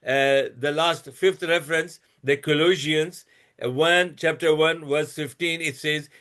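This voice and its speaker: A man with a fairly high voice talks slowly and deliberately, presenting references one by one on his own channel. He restarts often and leans on fillers. When he speaks to critics he turns blunt and scolding. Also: fairly bright tone. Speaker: channel host presenting a religious comparison. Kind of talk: lecture-style presentation reading out references.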